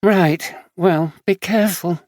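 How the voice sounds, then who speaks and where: Imagine a young homeless woman, watchful and kind, her voice pitched up and lighter as a male narrator puts her on. The sound is close and dry, with no room echo. It fits a gritty audiobook tale. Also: takes it slowly, a little mellow. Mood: concerned. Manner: higher, lighter put-on voice.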